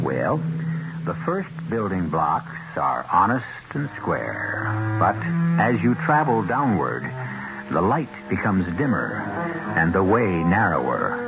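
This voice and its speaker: A man with an American accent hosting a 1970s radio mystery, narrating in a theatrical, deliberate, ominous manner. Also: fairly low pitch, lo-fi sound. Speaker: host of radio mystery series.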